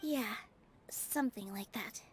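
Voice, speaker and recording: A woman voicing an earnest, gentle young woman in a video game, with music playing under her. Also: a little high, measured pace, slight background noise, natural voice. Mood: gentle, composed.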